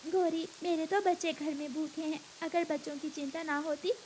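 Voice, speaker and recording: A woman's voice: sits high, steady tone, normal speed, darkish tone, a little hiss or hum, lightly acted. A woman voicing a caring, determined mother bird in a cartoon, speaking earnestly and with some worry.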